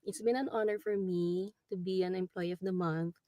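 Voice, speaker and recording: A woman in her forties with a Filipino accent, a travel nurse speaking in a recorded video message, pausing often with filler words. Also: on the low side, steady tone, warm tone, dry recording, clean studio sound. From Philippines. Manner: hesitant, many ums and likes.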